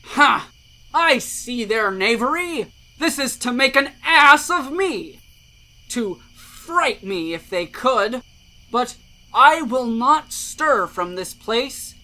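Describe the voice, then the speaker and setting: A woman's voice: on the high side, animated, slow, pushed and bright, heavily acted. A woman playing a blustering, stubborn male fool full of bravado in a theatrical Shakespeare reading. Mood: defiant bravado. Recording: music behind the voice.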